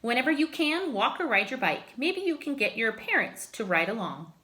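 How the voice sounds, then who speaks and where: A woman reading a children's picture book aloud like a teacher, with expressive, emphatic phrasing. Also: a little high, moderate pace, a little hiss or hum. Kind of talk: children's story read-aloud.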